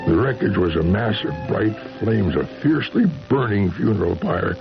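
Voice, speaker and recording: A shaken old husband in an old radio drama, low creaky voice, stumbles dramatically over words. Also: male voice, animated. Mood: haunted, agitated. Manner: halting, repeating words, dramatic. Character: distraught older husband recounting his wife's strange tale. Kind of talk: 1970s radio drama acting. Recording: muffled vintage radio recording, loud music.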